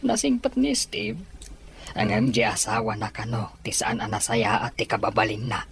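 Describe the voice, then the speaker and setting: A man's voice: lowish voice, huge pitch swings, normal speed, gravelly, a bit bright, full character voice. A man acting in a radio drama as a concerned, worrying father.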